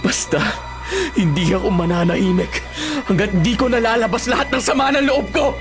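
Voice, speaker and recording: A man's voice: high-pitched, steady tone, normal speed. A man playing a bitter, grieving father in a radio drama, accusing and resentful toward his older sister. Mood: bitter, vengeful. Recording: dramatic music behind the voice. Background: music.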